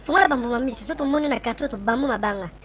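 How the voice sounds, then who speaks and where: Lady doing a cheeky cartoon kid, high n quick, blunt, muffled noisy audio. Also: natural voice. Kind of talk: animated comedy dialogue. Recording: muffled lo-fi track, noisy background.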